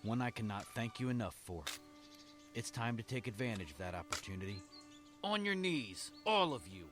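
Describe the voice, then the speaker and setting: A man playing a young executioner guard in an audio drama, solemn and commanding, speaking in measured, formal, grave phrases. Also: mid-range voice, expressive, a bit bright, soft background music, lightly acted. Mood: stern, commanding. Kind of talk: dramatic audio fiction acting.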